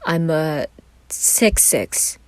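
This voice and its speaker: A woman's voice: sits low, big pitch swings, very slow, no echo, heavily acted. Woman playing a shy, stammering tall emo guy. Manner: hesitant, stammering, self-deprecating. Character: very tall, awkward, shy emo young man. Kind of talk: fan fiction audio story acting.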